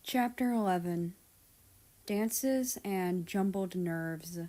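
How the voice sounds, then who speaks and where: A woman narrating fan fiction aloud in an expressive amateur audiobook style. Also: on the low side, slow.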